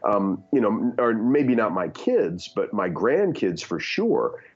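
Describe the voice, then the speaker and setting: A man's voice: fairly low pitch, very animated, takes it slowly, slightly rough, lo-fi sound. A man in his fifties giving candid opinions in conversation, earnest, with many fillers and restarts. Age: 50s. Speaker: guest.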